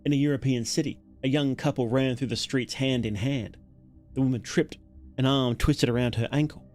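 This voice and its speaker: A man narrating a horror story as a livestreamer, dramatic and solemn, in deliberate, weighted phrases. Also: mid-range voice, steady tone, a little mellow, soft background music.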